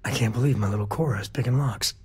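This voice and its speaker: An actor playing a protective, wry space-cowboy father in a video game, with a husky, rough-edged voice and a casual, folksy way of talking. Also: medium pitch, quick pace. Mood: exasperated, concerned. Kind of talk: video game dialogue acting.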